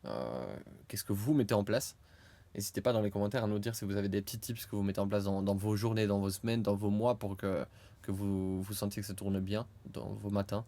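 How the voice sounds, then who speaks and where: A young man co-hosting a podcast in casual chat, hesitant with many fillers and bursts of loud laughter. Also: fairly low pitch, fairly quick. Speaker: podcast co-host.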